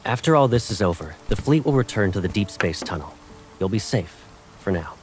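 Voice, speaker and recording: An actor playing a possessive, protective young military colonel in a video game, speaking with controlled, intimate intensity. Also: male voice, on the low side, expressive, fairly quick, faint music behind, close to an everyday voice. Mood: resigned. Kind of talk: dramatic video game cutscene acting.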